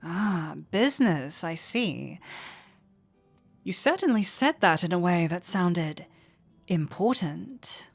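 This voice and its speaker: A woman's voice: fairly low pitch, animated, slow, gravelly, slightly rough, soft background music, full character voice. A woman playing a flirty, teasing tavern innkeeper in an intimate fantasy audio roleplay, with a coy, playful manner. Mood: curious.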